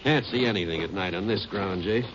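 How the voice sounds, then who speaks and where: A man's voice: low voice, a little fast, close to an everyday voice. A man playing a plainspoken, folksy country sheriff in a 1950s radio western drama, talking with a drawling delivery. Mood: weary, doubtful. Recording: noisy, muffled 1950 radio recording.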